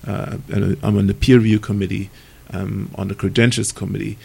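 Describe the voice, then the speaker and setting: Gravelly husky guy on a radio panel, lots of ums, low voice. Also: little pitch movement, brisk, warm tone. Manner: hesitant, full of ums and restarts. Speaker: hospital committee member.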